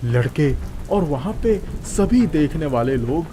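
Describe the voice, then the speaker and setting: A man's voice: fairly high pitch, very animated, slow, warm tone. A man narrating a scripted children's moral story as a storyteller.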